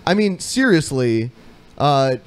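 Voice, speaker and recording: A man's voice: fairly high pitch, big pitch swings, slow, clean tone. A man hosting a podcast, chatty and telling stories, often protesting in mock outrage. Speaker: podcast host. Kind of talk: casual podcast banter.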